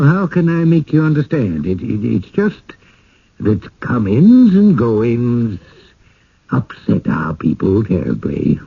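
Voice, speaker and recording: Radio drama role: genial, apologetic village man who stammers politely, lively husky voice, dulled vintage sound. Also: medium pitch, full character voice. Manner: hesitant, apologetic, courteous, stammering. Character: fussy, genial widowed village man screening newcomers. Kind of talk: 1980s radio drama acting. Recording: muffled old radio broadcast.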